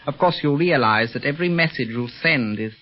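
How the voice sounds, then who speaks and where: A man playing a polite, slightly halting Chinese tea house owner and secret operative in a 1930s radio serial. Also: medium pitch, close to an everyday voice. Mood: grave. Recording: dull old radio recording with music.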